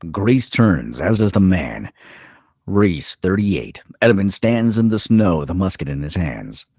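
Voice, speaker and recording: A man's voice: low-pitched, big pitch swings, slow, darkish tone, no echo, studio quality. A man reading scripted stage directions as the narrator of a screenplay table read.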